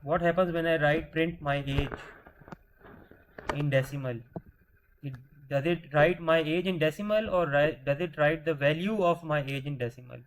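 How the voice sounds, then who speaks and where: A man's voice: fairly high pitch, normal speed, a little hiss or hum, rough recording. Male teacher, rhetorical questions. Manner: patient, asks questions then answers them; restarts words mid-sentence. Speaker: programming teacher. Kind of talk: screen-share coding lesson.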